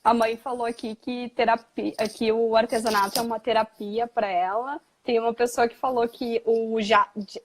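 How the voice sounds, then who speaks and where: Live host woman, slightly high voice, relaxed and chatty, reads viewer comments. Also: moderate pace, hardly any pauses. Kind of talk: casual live chat.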